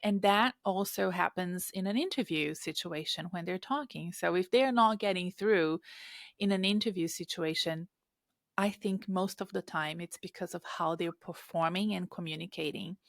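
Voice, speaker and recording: A woman's voice: lowish voice, moderate pace, gravelly, no room sound, clean studio sound. A woman hosting a job-hunting podcast and coaching clients, asking questions in a thoughtful way with hesitant restarts. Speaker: podcast host and career coach. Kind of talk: casual interview talk.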